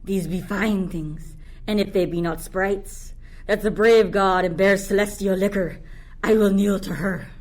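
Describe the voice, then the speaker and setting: A woman's voice: medium pitch, steady tone, unhurried, darkish tone, lightly acted. A woman playing a resentful, fearful monster servant, declaiming Shakespearean verse in a radio play. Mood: awed. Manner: declamatory archaic verse delivery.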